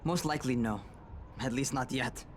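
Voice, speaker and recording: A man's voice: medium pitch, normal speed, slight background noise, close to an everyday voice. An actor playing a brash young male survivor and trainer in a video game, talking informally. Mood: casual, advising. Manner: foul-mouthed, informal.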